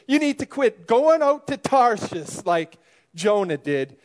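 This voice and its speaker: A man playing a stern fire-and-brimstone preacher in a church comedy sketch, scolding and building up to shouts. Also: very high voice, sing-song, slow, exaggerated voice. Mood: fiery.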